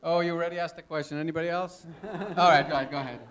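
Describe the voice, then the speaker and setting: A man's voice: a little high, fairly even intonation, rapid-fire, a bit bright. A man in his fifties speaking informally as a guest in an audience question session at an expo, in a conversational way. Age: 50s. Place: expo stage before a live audience. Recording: live stage talk with audience noise.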